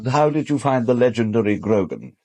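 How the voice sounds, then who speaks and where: An actor with a British accent playing a suave, gossipy, teasing gentleman in a radio drama, theatrical and familiar. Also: mid-range voice, big pitch swings, fairly quick.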